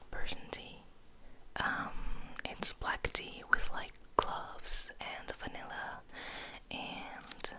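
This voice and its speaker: A woman whispering ASMR as host, rambling softly and intimately to the listener, sometimes slipping between two languages. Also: poor audio. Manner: slow, intimate whisper, addressing the listener affectionately; switches between English and French. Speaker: ASMR host and poetry reader.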